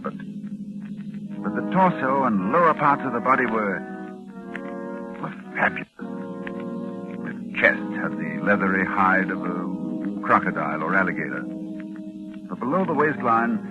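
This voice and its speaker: A low-voiced, horror-struck learned doctor in an old radio drama. His delivery swings widely in pitch, full of dramatic halts, on a muffled vintage recording with music behind. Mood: horrified awe. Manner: dramatic, halting pauses and repeated words. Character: grave, horror-struck scholarly doctor telling his terrible tale. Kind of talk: 1940s radio drama acting.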